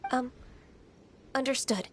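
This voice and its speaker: A woman playing a gentle, earnest young immortal in training in a video game, polite and measured. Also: high voice, fairly even intonation, background noise. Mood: hesitant.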